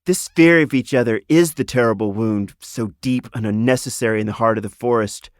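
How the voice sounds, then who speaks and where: A man's voice: mid-range voice, fairly quick, no room sound, studio quality, lightly acted. A man voicing a decisive, compassionate female animal healer who gives orders in a children's fantasy story. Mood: firm, grave.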